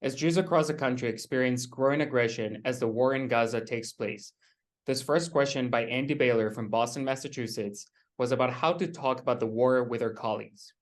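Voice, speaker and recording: A man's voice: mid-range voice, fairly quick. Young man hosting a formal Q&A. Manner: formal, reads from notes. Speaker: event host. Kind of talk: reading introduction and questions.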